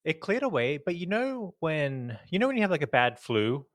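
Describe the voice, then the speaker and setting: A man's voice: on the high side, moderate pace, no echo. Chatty man co-hosting a podcast, dry sound. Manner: chatty, animated storytelling. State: slightly croaky from smoke. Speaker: podcast co-host. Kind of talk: casual chat. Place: New York.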